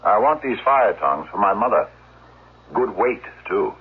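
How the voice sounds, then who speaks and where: A menacing, courteous low-voiced robber. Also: male voice, animated, breathy edge, narrow, tinny audio, heavily acted. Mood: insistent, menacing. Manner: halting politeness turning coldly insistent. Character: menacing, oddly courteous, disorganized robber posing as shopper. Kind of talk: 1970s radio drama acting. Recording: noisy, thin vintage radio recording.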